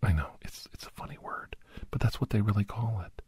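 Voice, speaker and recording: Guy playing a weary doctor in asmr audio story, deep hushed whispery voice, super dry and close, confiding. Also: no room sound. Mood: hushed, reminiscing. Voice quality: breathy, often whispered. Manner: hushed, unhurried, halting, confiding. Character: weary, confiding male doctor who hunts shapeshifters.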